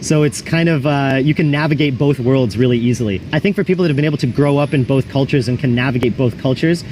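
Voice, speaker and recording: A man's voice: medium pitch, quick pace, darkish tone. A man who runs a public speaking company, answering with confident, polished delivery in a street interview. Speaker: debate trainer, interviewee. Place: busy city street outdoors. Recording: street traffic noise.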